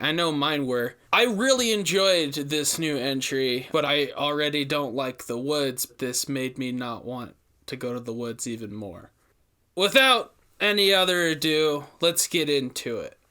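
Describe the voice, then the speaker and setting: Bright-voiced man narrating dramatic stories. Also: medium pitch. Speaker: host and story narrator. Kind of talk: dramatic scripted story narration.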